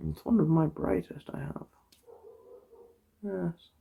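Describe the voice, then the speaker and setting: Soft, creaky-voiced slow ASMR murmur. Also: medium pitch. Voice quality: soft, breathy, drifting into whisper. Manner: hesitant, murmuring, unhurried, reassuring. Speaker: ASMR performer playing an optician giving an eye exam. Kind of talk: ASMR roleplay, soft-spoken and whispered.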